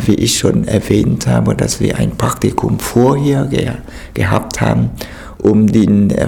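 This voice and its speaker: A man's voice: lowish voice, vocal fry, darkish tone. A man from Vietnam, a native Vietnamese speaker, answering interview questions hesitantly with many fillers.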